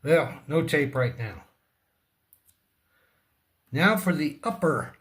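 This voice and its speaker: A man giving a casual hands-on repair demonstration, speaking in halting short fragments and muttering to himself as he works. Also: on the high side, very animated, a bit bright. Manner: talks to himself and the object. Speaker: repair tutorial presenter.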